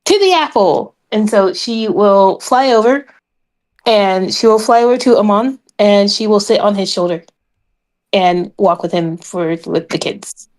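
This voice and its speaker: A woman's voice: medium pitch, steady tone, unhurried, a little mellow. A woman playing a tabletop game, casually narrating what her character does. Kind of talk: casual third-person roleplay narration.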